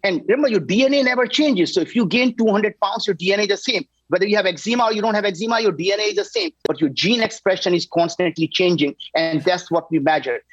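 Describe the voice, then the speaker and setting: A man in his sixties, a company founder guest on a talk show, pitching his product with energy and emphasis, often tagging "right?". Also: fast talker, few pauses, no room sound, lo-fi sound. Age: 60s.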